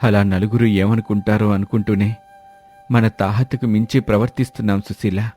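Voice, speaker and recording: A man plays a humble, worried uncle in a moral story. His voice is lowish and very mellow, subdued and polite, over faint music. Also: little pitch movement, normal speed, lightly acted. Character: humble, worried poor uncle, proud and kindly.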